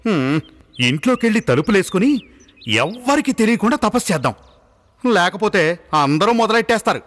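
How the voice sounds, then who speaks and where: A man voicing a poor, excitable younger brother in a cartoon, exclamatory, sarcastic and exaggerated. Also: high-pitched, fairly quick, exaggerated voice. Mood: eager. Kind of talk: exaggerated cartoon dialogue acting. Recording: long hall-like reverb, music behind.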